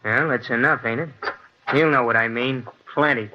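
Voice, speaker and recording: A man's voice: medium pitch, normal speed, a little hiss or hum, lightly acted. A man playing a pushy, menacing ex-convict in an old radio drama, belligerent, with clipped slangy talk. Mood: menacing, impatient. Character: pushy, menacing tough-guy ex-convict brother. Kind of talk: 1940s radio drama acting. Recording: muffled old radio recording.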